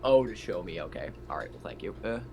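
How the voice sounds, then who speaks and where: A man with a fairly low voice chats casually as a player in a tabletop game. He thinks aloud in a tentative way, over faint background noise. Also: very animated, fast, a little mellow.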